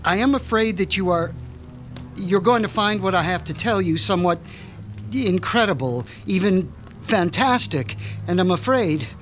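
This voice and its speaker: An actor playing a proud, formal mad-scientist doctor in a radio drama, speaking with precise, pompous diction. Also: male voice, high-pitched, lively intonation, normal speed, fairly bright tone, faint music behind. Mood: measured. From Switzerland. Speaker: mob doctor.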